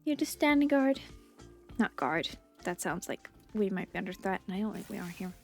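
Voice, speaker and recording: A woman's voice: medium pitch, animated, moderate pace, gravelly. A woman giving casual, chatty video game commentary, murmuring asides to herself. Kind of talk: casual gameplay commentary with dialogue read aloud. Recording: game music behind the voice.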